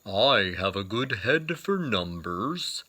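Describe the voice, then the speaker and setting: A friendly male bear from a children's storybook, played by a man reading aloud. He offers help with calm self-assurance. His words come slowly, with a bright edge. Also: lowish voice, slightly rough, full character voice. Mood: self-assured, helpful.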